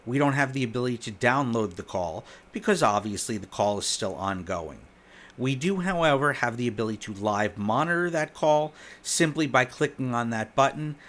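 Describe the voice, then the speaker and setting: A man presents a software product in a walkthrough style. His voice sits at a medium pitch. He sounds conversational as he guides the listener through each step in an instructional way. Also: expressive, normal speed.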